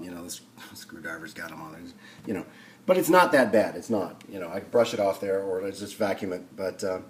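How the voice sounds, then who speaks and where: A man thinking aloud in an unhurried way as he gives a casual hands-on tutorial at a workbench. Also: fairly low pitch. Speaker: hobbyist demonstrating a restoration. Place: indoor home workshop. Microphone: camera on the workbench, speaker off-screen. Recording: noisy camera audio, background noise.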